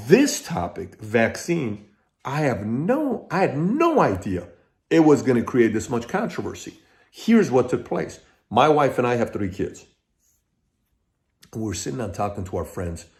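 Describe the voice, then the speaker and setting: A man's voice: fairly low pitch, a little mellow. A middle-aged man from Iran hosting his own channel, talking straight to his viewers in an emphatic way, working through his points as lists and often restarting. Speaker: channel host. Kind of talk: direct-to-camera commentary.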